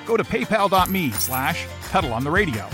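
A man reads an upbeat radio ad in a high, lively voice that rises and falls a lot. Clear guitar music plays behind him the whole time. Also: brisk. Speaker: ad announcer. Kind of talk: read radio ad.

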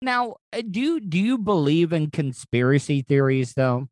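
A man's voice: on the high side, normal speed, a little mellow, no room sound, studio quality. An American man chatting casually over a call from outside a hospital, rambling and stammering over his words. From United States.

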